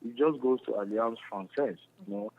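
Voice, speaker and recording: A man with a Cameroonian accent answering interview questions in a halting, repetitive, earnest explaining way. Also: medium pitch. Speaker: interview guest, stage actor. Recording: muffled lo-fi radio phone call.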